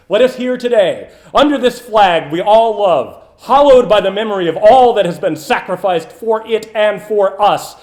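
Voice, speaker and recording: A man re-creating an old-fashioned political stump speech in an impassioned, declamatory manner, with dramatic pauses and emphatic swells. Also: high voice. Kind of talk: theatrical old-fashioned political stump oratory, read from a text.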